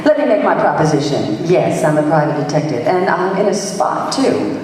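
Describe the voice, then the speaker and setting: A woman's voice: deep voice, very animated, normal speed, darkish tone, a little hiss or hum. An actress playing a brash, wisecracking female private detective in an old-time radio play, with a sassy, dry manner. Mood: brisk, sassy. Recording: echoey hall, distant microphone.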